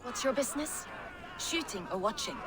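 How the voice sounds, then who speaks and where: Woman playing a high-voiced, blunt contest keeper. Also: animated, normal speed, noisy background, close to an everyday voice. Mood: challenging. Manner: blunt, businesslike, teasing. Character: brisk, wry woman running a secret archery contest. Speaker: contest gatekeeper. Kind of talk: game cutscene acting.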